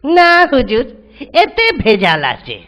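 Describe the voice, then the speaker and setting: A woman's voice: fairly high pitch, big pitch swings, slow pace, fairly bright tone, dull audio, full character voice. A woman voicing a witty, sly male village trickster in a cartoon comedy, with a cheeky manner. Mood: protesting. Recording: background music under the voice.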